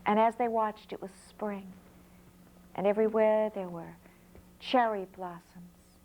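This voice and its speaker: A woman storyteller narrating a folk tale in an unhurried way, pausing between short phrases. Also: mid-range voice, steady tone, narrow, tinny audio.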